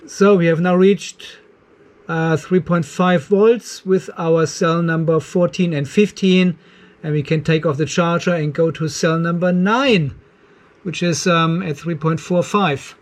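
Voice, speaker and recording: A man, hosting a hands-on workshop demo and explaining each step while he works in a cluttered garage workshop, filmed on a handheld camera held close. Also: a little high. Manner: explains step by step while working, thinking aloud. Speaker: channel host.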